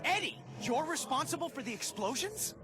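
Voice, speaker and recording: A man's voice: extremely high pitch, big pitch swings, normal speed. An actor playing a wisecracking, earnest, brave young male superhero in a video game cutscene. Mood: urgent, earnest. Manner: quippy, earnest. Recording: loud music behind the voice.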